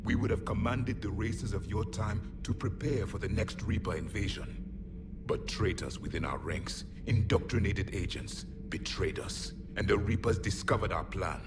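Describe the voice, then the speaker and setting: A man's voice: fairly low pitch, normal speed, natural voice. An actor playing an ancient male alien warrior in a video game, speaking in an imperious, disdainful and formal way. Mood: bitter, grave. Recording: loud music behind the voice.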